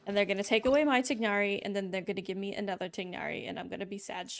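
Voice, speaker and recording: A woman's voice: medium pitch, fairly even intonation, racing. A young woman doing casual live gameplay commentary as a streamer, wry, rambling and playful. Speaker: game streamer. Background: game music.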